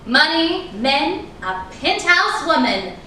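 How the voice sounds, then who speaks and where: Echoey, distant actress as a guarded, high-voiced woman. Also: sing-song, takes it slowly, sharp tone, full character voice. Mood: intent, storytelling. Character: guarded, ambitious actress fending off an old flame. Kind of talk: staged reading of a stage drama. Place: echoey indoor room at a staged reading. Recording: echoey room, distant mic, noisy.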